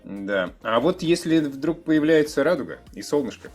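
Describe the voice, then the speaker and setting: A man co-hosting a podcast in casual chat, with playful and somewhat halting phrasing. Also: on the high side, lively intonation, darkish tone, faint music behind. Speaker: podcast co-host.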